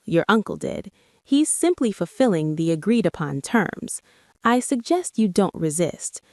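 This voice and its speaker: A woman's voice: medium pitch, darkish tone, no echo, clean studio sound. A woman voicing a stern, disapproving female household aide, formal and clinical, in an audio story.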